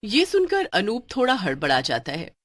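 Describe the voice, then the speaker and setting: A woman's voice: medium pitch, wildly swooping pitch, normal speed, a bit bright. A woman reading a scripted story as its narrator.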